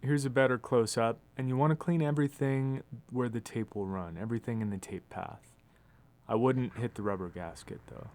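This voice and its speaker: A man's voice: mid-range voice, normal speed, darkish tone. A man who works as a recording engineer, casually narrating a hands-on demonstration in a studio, recorded on a phone. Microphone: phone video microphone.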